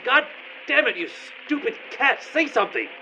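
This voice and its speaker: An actor playing an indignant, bewildered astronaut in a comedic radio play, given to melodramatic outbursts. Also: male voice, extremely high pitch, big pitch swings, moderate pace, creaky, very noisy, exaggerated voice. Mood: panicked, furious. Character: exasperated, paranoid male astronaut, indignant and bewildered. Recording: muffled audio with music behind.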